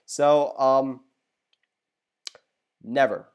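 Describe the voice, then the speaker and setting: A young man whose native tongue is Arabic, hosting a relaxed Q&A for his gaming channel. He rambles along at a mid pitch, tossing in loose jokes and asides as he answers each question. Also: drawn-out words, clear, resonant tone, highs cut off. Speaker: gaming YouTuber. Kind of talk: casual Q&A video.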